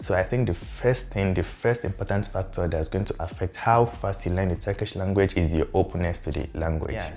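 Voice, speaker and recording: Deep-voiced young man explaining to camera. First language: Yoruba. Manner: relaxed, friendly explaining, slows to spell out words. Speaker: language vlogger. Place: small indoor room. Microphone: lapel mic.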